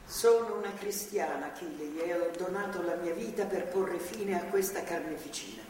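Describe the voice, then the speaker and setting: A woman's voice: on the low side, moderate pace, lightly acted. An actress playing a devout, stern, grandmotherly older woman in a theatrical radio drama, with an earnest, moralizing manner. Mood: earnest, reproving. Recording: distant, echoey hall, clearly noisy.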